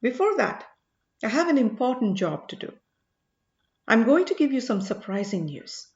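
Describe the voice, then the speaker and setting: A woman's voice: on the high side, big pitch swings, moderate pace. Woman voicing a commanding sage, dull echoey recording. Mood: grave, intent. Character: commanding male sage, earnest, probing, mildly excitable. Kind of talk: audiobook character dialogue.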